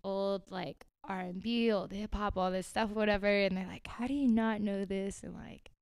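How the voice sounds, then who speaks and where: A woman's voice: medium pitch, fairly even intonation, normal speed, darkish tone, clean tone, no room sound, studio quality. A young woman from Houston chatting as a podcast guest, filling her talk with "like" and laughing often. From Houston, Texas. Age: young adult. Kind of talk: casual interview chat.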